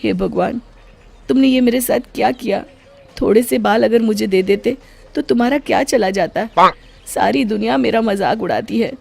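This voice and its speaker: A woman voicing an excitable, stubborn young man in a dramatic, emotional story style. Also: a little high, normal speed, vocal fry, faint music behind, voice pushed up. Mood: plaintive.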